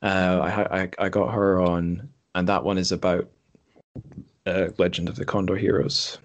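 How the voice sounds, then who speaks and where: A man's voice: deep voice, level tone, normal speed. A man hosting a podcast in casual, unscripted chat, hesitant and correcting himself as he thinks aloud. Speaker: podcast host. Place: room in a home.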